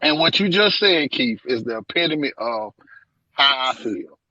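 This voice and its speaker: A man's voice: medium pitch, lively intonation, unhurried, sharp tone, dry recording, muffled recording. A man in his forties on a video-call livestream, speaking emphatically and repeating phrases for weight. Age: 40s. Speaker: live-stream panelist. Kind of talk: heated casual discussion. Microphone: headset on a video call.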